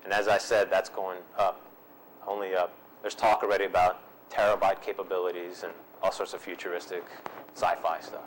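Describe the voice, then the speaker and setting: Low-voiced man presenting a workshop. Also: level tone, brisk, slight background noise, poor audio. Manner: easygoing, repeats himself with ums. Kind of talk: answering audience questions. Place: seminar room.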